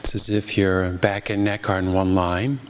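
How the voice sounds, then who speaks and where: Low-pitched man guiding a meditation. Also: little pitch movement, heavy background noise. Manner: gentle, unhurried, with long pauses. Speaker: meditation teacher. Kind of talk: guided meditation.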